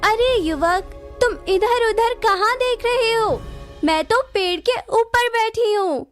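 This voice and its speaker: A woman's voice: extremely high pitch, slow pace, soft background music. A woman voicing a young, assertive female monkey, an enchanted merchant's daughter, in a cartoon story. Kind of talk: Hindi moral-story cartoon acting.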